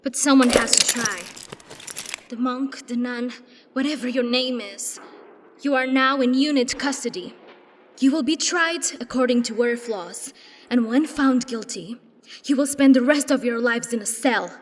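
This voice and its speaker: Woman as stern high-voiced agent in echoing hall. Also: unhurried, a little hiss or hum, lightly acted. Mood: grave, resolute. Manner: stern, accusing. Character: indignant, resolute female military agent. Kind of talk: audio drama acting. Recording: long hall reverb.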